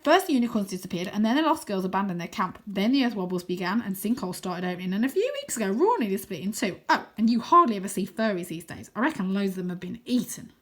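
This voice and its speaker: A woman reading aloud puts on an excitable boy, half wizard and half ninja, from a children's story. He is boastful and chummy, and he delivers everything with theatrical relish. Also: mid-range voice, quick pace, few pauses. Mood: confiding, urgent. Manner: dramatic, switching between shouts and whispers.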